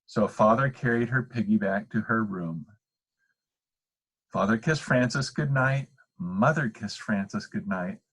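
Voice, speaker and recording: Older male storybook reader: slightly rough husky tone, leisurely pace, expressive and deliberate delivery for children. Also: fairly low pitch, low-quality recording. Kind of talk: children's bedtime story narration.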